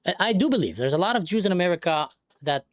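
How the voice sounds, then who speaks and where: A man's voice: sits high, very animated, quick pace, no room sound, clean studio sound. A man who grew up in the Middle East, hosting a live stream and arguing insistently with a caller. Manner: insistent, argumentative, talks over the other speaker. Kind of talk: heated debate on a live stream.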